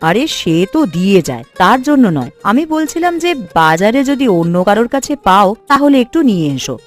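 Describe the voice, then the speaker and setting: A woman voicing an expressive, fretful village housewife in a cartoon, with a gossipy, nagging way of talking. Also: fairly high pitch, a little fast, faint music behind. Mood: bossy. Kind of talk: cartoon voice acting.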